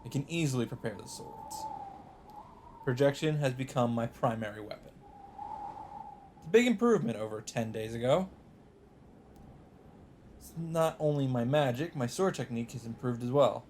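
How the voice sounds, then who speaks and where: A man reading a visual novel script aloud as dramatic narration, with deliberate, drawn-out phrasing. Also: mid-range voice, expressive, darkish tone, a little hiss or hum. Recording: music under the voice. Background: music.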